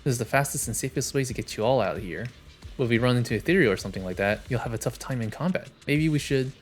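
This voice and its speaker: A man's voice: medium pitch, lively intonation, rapid-fire, soft background music. A man who streams games, reading story narration and dialogue aloud on a livestream.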